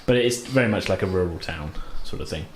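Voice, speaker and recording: A man improvising narration as a tabletop game master in an informal, halting way. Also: low-pitched, lively intonation. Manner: halting, informal, hedging descriptions. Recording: roomy, light background noise.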